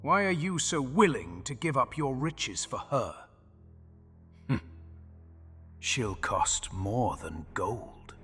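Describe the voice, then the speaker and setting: An actor playing a sly, greedy male goblin who giggles, teases and flares into shouting, in an audio drama. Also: medium pitch, very animated, slow pace, long pauses. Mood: menacing. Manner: giggles, teasing, turns to shouting. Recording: long reverb with music underneath.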